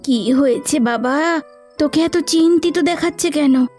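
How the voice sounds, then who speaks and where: Gentle elderly village mum for a cartoon, high, unhurried female voice acted, earnest and encouraging. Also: faint music behind. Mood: concerned, advising. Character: gentle, caring old village mother. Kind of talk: cartoon dialogue.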